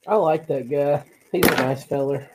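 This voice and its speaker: A man's voice: on the high side, normal speed, noisy background. A man co-hosting a podcast who jokes around and hypes things up in casual chat. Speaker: podcast co-host.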